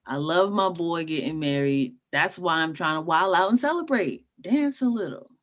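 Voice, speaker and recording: An actress playing the groom's blunt, unimpressed, party-loving sister in a comedy table read. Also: female voice, lowish voice, animated, normal speed, a bit bright. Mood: irked, restless. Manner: blunt, sassy, casual.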